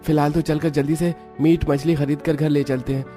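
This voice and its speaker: A man voicing a poor, affectionate labourer father in a cartoon story, homely and expressive. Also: on the high side, brisk, soft background music, close to an everyday voice. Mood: practical. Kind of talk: Hindi cartoon story acting.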